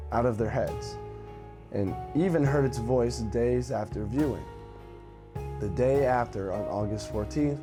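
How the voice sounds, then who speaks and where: A man speaking as the host of a horror video channel, giving casual commentary in a conversational, informal way. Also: medium pitch. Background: background music with guitar.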